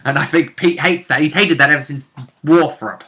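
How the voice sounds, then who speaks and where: A man with a strikingly bright, forceful voice, pitched a little high, talks casually as a tabletop player. He is blunt and joking, and not shy of swearing. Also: normal speed. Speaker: tabletop role-playing player. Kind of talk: casual chat.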